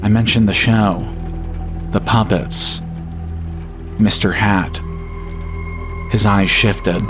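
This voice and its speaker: Low-voiced man narrating horror over music. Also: steady tone, takes it slowly. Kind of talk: scripted first-person horror story narration. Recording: music bed behind the voice. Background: atmospheric music.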